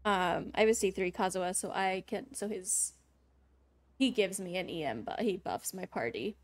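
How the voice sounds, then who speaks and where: Casting: female game streamer, medium pitch, casual and chatty live commentary, playful reactions, very dry close sound. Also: moderate pace, dry recording. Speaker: game livestreamer.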